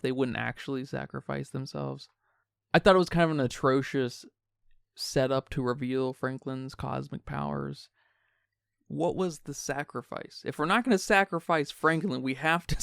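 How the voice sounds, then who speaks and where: Man, podcast co-host, creaky edge, crisp tone, dry close sound, casual, opinionated, wry. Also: medium pitch, steady tone, moderate pace, a bit bright, no room sound. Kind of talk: casual film discussion.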